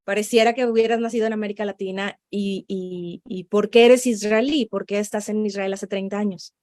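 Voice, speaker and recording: A woman's voice: mid-range voice, steady tone, fairly quick, darkish tone, no room sound, studio quality. A woman hosting an interview, restating and summarizing her guest's answers. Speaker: interview host.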